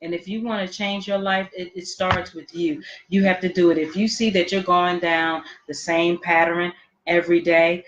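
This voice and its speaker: A woman co-hosting a casual livestream chat show, giving earnest, preachy motivational advice. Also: on the low side. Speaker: podcast co-host. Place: indoors, livestream. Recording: dull lo-fi livestream audio.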